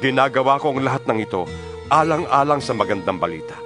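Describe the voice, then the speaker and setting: A man's voice: medium pitch, animated, fast talker. A man giving a dramatized scripture reading in a declamatory way, pressing a string of pleading rhetorical questions. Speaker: Bible reader. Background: instrumental music.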